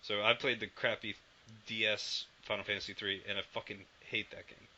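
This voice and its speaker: A man's voice: on the low side, flat delivery, a little fast, pushed and bright. A man co-hosting a podcast in casual chat, rambling and swearing casually. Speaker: podcast co-host. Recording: dull lo-fi podcast audio with faint noise.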